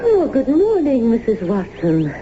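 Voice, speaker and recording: A woman's voice: on the high side, huge pitch swings, a little slow, faint music behind. A woman acting in a radio drama as a courteous, formal neighbor hostess with a subtly sinister, insinuating manner. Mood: courteous, solicitous. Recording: muffled, noisy old radio broadcast.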